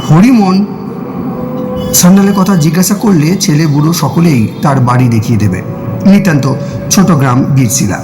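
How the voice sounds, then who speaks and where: A man narrates a scripted story in the first person, in a measured, dramatic way with deliberate pauses. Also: a little high. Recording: music playing behind the voice. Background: clear background music.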